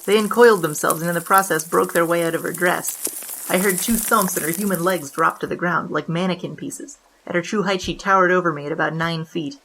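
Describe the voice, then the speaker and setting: A woman playing a wry, deadpan bandit who narrates a confession in hardboiled 1920s noir style. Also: lowish voice, a little fast, a little hiss or hum, poor audio. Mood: dramatic, deadpan.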